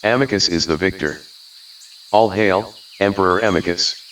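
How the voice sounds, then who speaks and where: Man voicing a deep, solemn imperial herald. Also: normal speed, fairly bright tone, distant mic, a little hiss or hum, poor audio. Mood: solemn proclamation. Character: announcer proclaiming a new wolf emperor.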